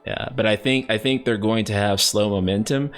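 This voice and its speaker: Upbeat low-voiced male stream host. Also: moderate pace, smooth, faint music behind. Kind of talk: casual live-stream chat.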